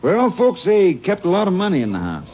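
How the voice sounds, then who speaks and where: An actor playing a rural Texas sheriff with a folksy Texan drawl, wry and gruff when crossed, in old-time radio western style. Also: male voice, high voice, wildly swooping pitch, fast. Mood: matter-of-fact. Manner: folksy, drawling country idiom. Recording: muffled old radio broadcast recording.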